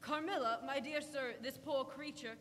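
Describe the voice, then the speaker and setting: A woman's voice: sits high, moderate pace, lightly acted. A woman playing a prim, skeptical antiquarian doctor, fussy and proper, in old-fashioned radio drama style. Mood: fussy, reassuring. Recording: echoey hall with faint noise.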